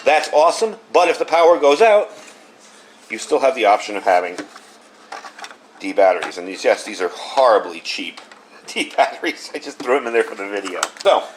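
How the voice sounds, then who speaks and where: A man's voice: mid-range voice, moderate pace. A man giving a casual hands-on gadget review, explaining things step by step in an informal way. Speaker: product reviewer. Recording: clear steady background noise.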